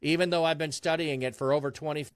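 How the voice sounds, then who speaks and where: A man's voice: on the high side, fairly even intonation, fast, smooth, no echo. Easygoing chatty man, dry close mic. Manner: chatty, easygoing, self-deprecating. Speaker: streamer guest. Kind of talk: casual conversation.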